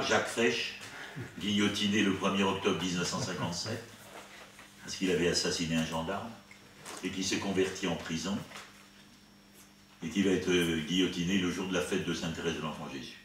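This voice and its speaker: Priest speaking in a very echoey room. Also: male voice, low voice, a bit bright. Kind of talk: spiritual conference, commenting and reading out quotations. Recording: echoey room, distant mic, faint noise.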